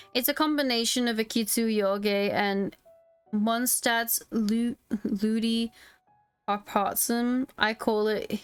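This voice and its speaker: A woman's voice: a little high, clean tone. A woman streaming a game casually, reading its dialogue aloud into a close mic.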